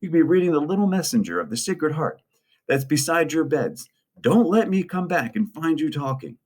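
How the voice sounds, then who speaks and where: Man putting on a scolding, high-pitched stern nun. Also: expressive, normal speed, darkish tone. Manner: scolding, bossy. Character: stern scolding nun in a hospital, adult woman.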